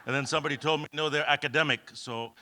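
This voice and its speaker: Kenyan-born man, middle-aged, conference speaker, bright forceful tone, joking anecdotes, lots of ums. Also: fairly high pitch, steady tone, quick pace, a little hiss or hum. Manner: humorous, self-deprecating storytelling with many fillers. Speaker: keynote speaker. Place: event hall.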